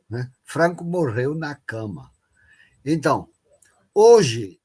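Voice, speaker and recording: A man speaking Portuguese, talking slowly and with emphasis as a guest professor on an online panel. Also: a little high, darkish tone, slightly rough. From Brazil. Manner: slow, deliberate, emphatic. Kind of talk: live online panel talk.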